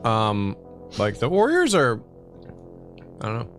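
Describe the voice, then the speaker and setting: A man's voice: mid-range voice, wildly swooping pitch, slow, fairly bright tone, soft background music. A man co-hosting a livestream, chatting casually and reading out comments. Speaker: livestream co-host.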